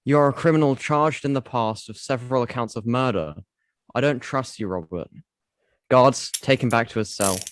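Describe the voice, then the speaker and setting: Man acting stern prison authority, mid-pitched, distrustful, dismissive, order-giving, audio drama. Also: moderate pace, close to an everyday voice. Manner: commanding, dismissive.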